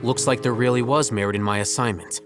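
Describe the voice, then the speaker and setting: A man's voice: medium pitch, fairly even intonation, quick pace, over music, natural voice. Composed, aloof young scholar played by a man. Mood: detached. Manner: matter-of-fact, unruffled, formal. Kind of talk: video game voice acting.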